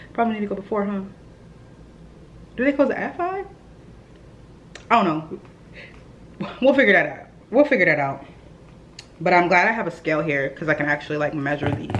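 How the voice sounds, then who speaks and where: A woman's voice: on the low side, a bit bright, highs cut off, a little hiss or hum. Young woman, casual chatty vlogger talking straight to her viewers, recorded in a roomy echoey house. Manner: chatty, addresses viewers as y'all. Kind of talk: casual vlog chat. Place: at home, echoey rooms. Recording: echoey home room, faint ambience.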